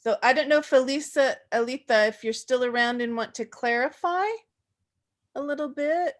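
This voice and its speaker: A woman's voice: high-pitched, normal speed. A woman moderating a video webinar, brief and attentive as she hands over to a participant.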